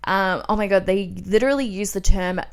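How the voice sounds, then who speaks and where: A woman's voice: medium pitch, a little fast, clean tone. A young Australian woman hosting a solo podcast, chatting in an informal, confiding and rambling way peppered with "like". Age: young adult. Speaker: podcast host.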